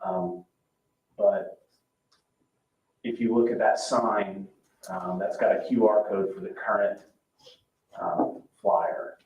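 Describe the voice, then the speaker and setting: A man talks through items informally at a public meeting, halting and hesitant as he goes. His voice is lowish and comes through dull and echoey, as if picked up across the room. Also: steady tone. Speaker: commission member presenting at a public meeting. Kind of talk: informal meeting presentation and discussion. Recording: roomy, distant, dull, noisy meeting-room pickup.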